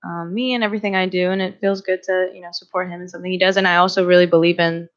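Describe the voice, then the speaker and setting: A woman's voice: on the low side, level tone, very fast. A woman in her twenties whose first language is Greek, chatting as a musician guest on a radio show, laughing often and using a lot of ums. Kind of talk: casual interview chat. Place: small radio studio in a church. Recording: slightly dull lo-fi radio sound.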